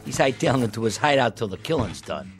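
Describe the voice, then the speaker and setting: A man's voice: medium pitch, big pitch swings, fast talker, music in the background. An actor playing a cynical, sneering Old West posse gunman in a radio drama. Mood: cocky, cynical.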